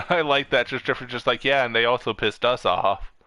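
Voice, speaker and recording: A man's voice: mid-range voice, fairly quick, sharp tone, no room sound. A young man chatting casually while streaming a video game, close on the mic. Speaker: gaming streamer. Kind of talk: casual gameplay chat.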